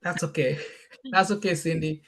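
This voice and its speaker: A man from Nigeria with a Nigerian accent, whose first language is Yoruba, speaking as a guest on a leadership panel and talking passionately. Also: on the high side. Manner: passionate, fast and emphatic, repeating words when excited. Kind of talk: panel talk answering questions.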